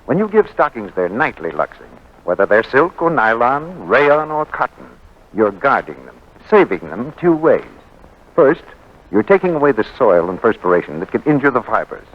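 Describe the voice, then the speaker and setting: A man's voice: mid-range voice, big pitch swings, moderate pace. A man reading scripted advertising as a polished, emphatic 1940s radio announcer. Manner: polished, persuasive, emphatic delivery. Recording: muffled 1940s radio broadcast.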